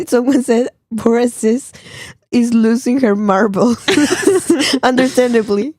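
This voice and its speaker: A woman chatting casually as co-host, laughing often and protesting playfully, her voice going hoarse. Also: a little high, steady tone, slow, a little mellow, no room sound. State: losing her voice. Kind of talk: casual chat.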